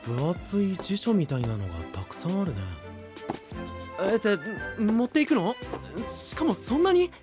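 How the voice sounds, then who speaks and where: A man voicing a sensible, gentle young traveler, cautious and mildly exasperated, in an animated audio drama. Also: sits high, moderate pace, over music. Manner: soft-hearted, cautious, questioning. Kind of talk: animated Japanese voice drama acting.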